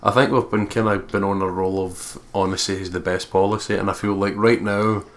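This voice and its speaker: A man's voice: on the low side, flat delivery, brisk. A man doing a let's play, reading a visual novel's narration aloud and chatting casually about it. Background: game music.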